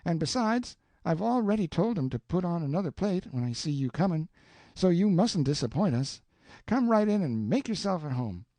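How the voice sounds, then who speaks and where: A man voicing a flustered, hospitable older Southern aunt who scolds in a shrill, excitable voice. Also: moderate pace, no echo.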